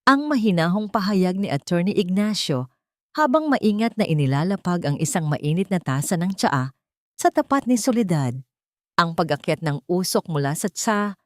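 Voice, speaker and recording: A woman narrator with a Filipino accent delivers a scripted, dramatic story. Her voice sits a little low for a woman. The sound is dry and close, with little room around it. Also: sing-song, moderate pace, dry recording.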